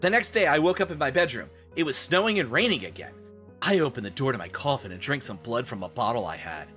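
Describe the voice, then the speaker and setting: A male streamer with a bright, forceful voice and a highly animated delivery. He reads fan fiction theatrically and keeps breaking off into exasperated joking asides. Light music plays behind him. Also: quick pace. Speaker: live streamer.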